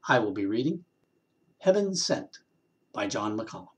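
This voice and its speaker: A man reading a short story aloud in an expressive, wry storyteller's manner with deliberate pauses. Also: fairly low pitch. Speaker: story reader, actor.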